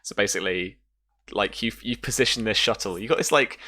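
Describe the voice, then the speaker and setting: A man's voice: fairly low pitch, brisk, pushed and bright, no room sound. A man casually narrating and explaining rules as a game master, feeling his way through explanations piece by piece.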